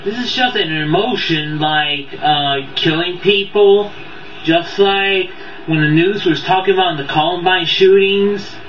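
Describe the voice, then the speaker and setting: A man's voice: high-pitched, measured pace, a little hiss or hum. Rambling man in a boxy, echoey room. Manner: rambling, stumbling, repeats words. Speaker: amateur video game movie reviewer. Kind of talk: casual unscripted countdown review. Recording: boxy echoey room, distant dull mic, background audio.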